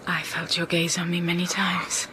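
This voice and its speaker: An actress playing a reserved, melancholy and intense young teacher in a period drama, speaking in formal, measured, hushed phrases. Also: female voice, lowish voice, monotone, slight background noise, poor audio. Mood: guarded. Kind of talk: period literary audio drama acting and narration.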